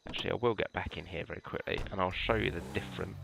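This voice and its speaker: A man's voice: sits low, very fast, vocal fry, background noise. A British man with a stuffy head cold, casually walking viewers through police cars in a game, rambling with many ums and restarts. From United Kingdom. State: congested from a cold. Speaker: gamer showing police cars. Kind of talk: casual gameplay walkthrough.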